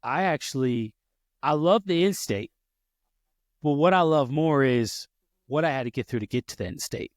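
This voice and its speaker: A man's voice: fairly high pitch, normal speed, long pauses, dry recording, clean studio sound. A man in his thirties hosting a podcast, telling personal stories in casual talk, often restarting and correcting himself. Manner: storytelling, frequent restarts and self-corrections. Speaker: podcast host.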